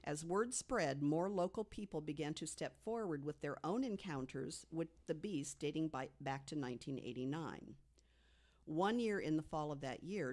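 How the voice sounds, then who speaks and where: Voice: medium pitch, a little mellow. A podcast panelist narrating a researched true story to co-hosts, reading from notes and correcting the odd slip. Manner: reads from notes, corrects slips.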